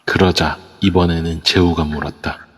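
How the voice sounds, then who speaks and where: A man's voice: low-pitched, fairly even intonation, normal speed, big-room sound. A man narrating an audio drama steadily from a script.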